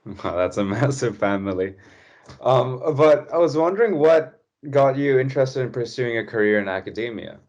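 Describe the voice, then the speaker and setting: A man's voice: mid-range voice, animated, moderate pace, a little mellow. A young man co-hosting a student podcast and asking curious questions in a casual way. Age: young adult. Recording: dull lo-fi sound.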